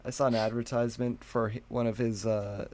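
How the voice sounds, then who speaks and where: A man's voice: medium pitch, flat delivery, fairly quick, a little mellow, a little hiss or hum. A man co-hosting a podcast, chatting casually with his friends. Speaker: podcast co-host.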